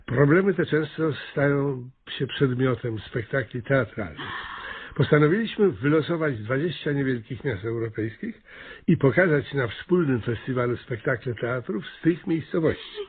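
An actor playing a pompous, scheming and excitable small-town mayor in a radio comedy, blustering and often hesitant. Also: male voice, mid-range voice, moderate pace, slightly rough, phone-quality sound. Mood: eager, fumbling. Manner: hesitant, blustering, self-important. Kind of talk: Polish radio comedy acting.

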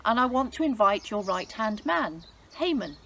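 A woman's voice: fairly high pitch, sing-song, moderate pace, fairly bright tone, a little hiss or hum. A woman voicing a brave young queen who speaks firmly and earnestly in a read-aloud Bible story. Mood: eager. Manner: firm, earnest.

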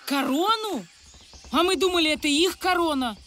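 Polite, high-voiced young cartoon animal. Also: female voice, expressive, moderate pace, heavily acted. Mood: earnest. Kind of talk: animated children's cartoon acting. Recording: loud music behind the voice.

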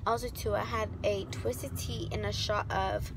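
A woman's voice: mid-range voice, steady tone, a little slow, background noise. A woman, chatting casually and swearing freely as she vlogs into a phone. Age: 20s. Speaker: vlogger. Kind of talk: casual vlog chat.

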